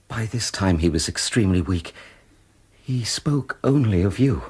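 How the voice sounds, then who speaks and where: A nervous gentleman telling a story in a radio drama. His voice is lowish and husky, his phrasing formal, fussy and precise. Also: expressive, measured pace. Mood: anxious, eager. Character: nervous, talkative gentleman recounting his father's death.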